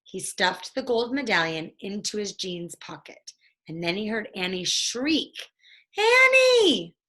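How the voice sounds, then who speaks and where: A woman reading a children's story aloud in a deliberate, expressive storytelling manner. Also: mid-range voice. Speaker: storybook reader.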